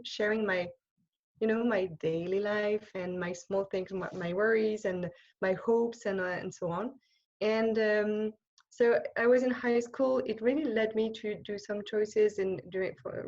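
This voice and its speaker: A French-accented woman, a religious sister from France whose first language is French, giving an informal talk to an online group on a video call and often pausing to search for words. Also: mid-range voice, a little mellow, highs cut off. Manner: hesitant, searching for words, many pauses and restarts. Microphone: computer microphone on a video call.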